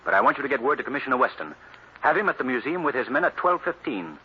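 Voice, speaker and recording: An actor playing a composed, self-assured gentleman crime-fighter with formal diction in an old-time radio drama. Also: mid-range voice, sing-song, fast talker, breathy edge. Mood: urgent. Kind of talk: 1940s radio drama acting. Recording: muffled, hissy old radio recording.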